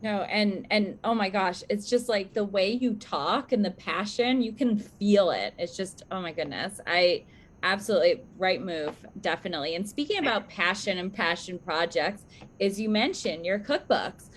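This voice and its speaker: A woman's voice: medium pitch, lively intonation, moderate pace. A woman co-hosting a casual interview show, speaking in a gushing, informal way. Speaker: show co-host.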